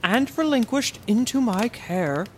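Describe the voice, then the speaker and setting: A woman's voice: on the high side, expressive, a little hiss or hum. Courteous, articulate scholar played by a woman. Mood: formal, earnest. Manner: polite, measured, articulate. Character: courteous, bookish middle-aged scholar reminiscing with an old friend. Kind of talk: fantasy audio drama acting.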